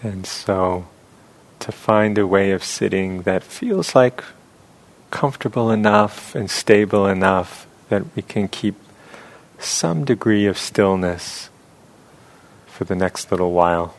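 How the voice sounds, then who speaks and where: Guy teaching meditation, deep voice, talks real slow and soft w long pauses. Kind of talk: guided meditation instruction.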